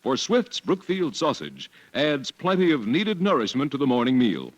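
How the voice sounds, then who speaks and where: A man's voice: medium pitch, wildly swooping pitch, normal speed. A man announcing in a scripted 1940s radio style, with folksy, polished salesmanship.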